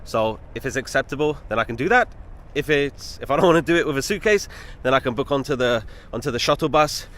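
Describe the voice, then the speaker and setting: A man's voice: mid-range voice, animated, fast, few pauses, a bit bright. A man chatting casually to camera while walking through a city as a travel vlogger, with a British accent that listeners often mistake for American. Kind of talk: casual travel vlog narration. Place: outdoors in a city street.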